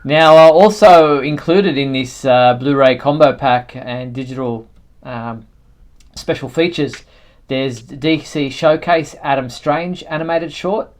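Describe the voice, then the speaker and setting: A man hosting a casual live fan news chat, speaking in a halting way with frequent fillers. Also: mid-range voice. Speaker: show host.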